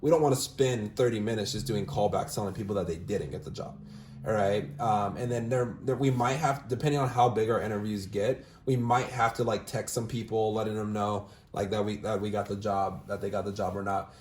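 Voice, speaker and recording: A man's voice: fairly low pitch, fairly quick, darkish tone, a little hiss or hum. Upbeat man in a roomy echo. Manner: upbeat, filler-heavy, says "all right?" often. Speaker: recruiting manager demonstrating callbacks. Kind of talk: casual screen-share walkthrough, then phone interview.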